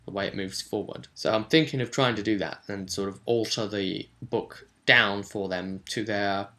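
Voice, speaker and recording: Bright, forceful man, lowish voice. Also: moderate pace. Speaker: game master and vidcast host. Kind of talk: informal recap of a tabletop role-playing game.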